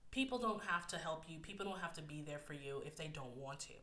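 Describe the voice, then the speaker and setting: A woman's voice: deep voice, lively intonation, fast talker, sharp tone, reverberant. A young woman hosting a personal podcast, candid and close to tears, her voice a bit nasal, laughing often between filler words. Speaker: podcast host. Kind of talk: personal solo podcast talk.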